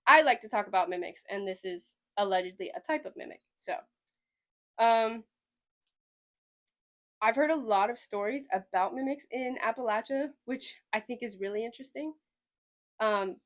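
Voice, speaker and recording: A young woman from Sweden with a slight Southern US twang, hosting a podcast in a rambling, chatty way with lots of hedging. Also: a little high. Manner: rambling, chatty, hedges a lot. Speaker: podcast host. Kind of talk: casual chat.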